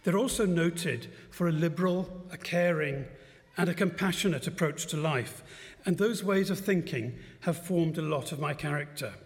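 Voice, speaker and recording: A man in his seventies giving a personal testimony at a church service, telling his life story in a gentle, reflective way with light self-mocking humour. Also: fairly high pitch, moderate pace. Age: 70s. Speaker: guest speaker at a church service. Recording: long church-hall reverb.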